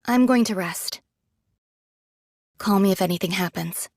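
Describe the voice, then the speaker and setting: Frank, medium-pitched female android soldier. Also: unhurried, a little mellow, no room sound. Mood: imploring. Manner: frank, earnest, imploring. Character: frank rank-and-file female android soldier, admiring yet reproachful. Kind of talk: dramatic game voice acting.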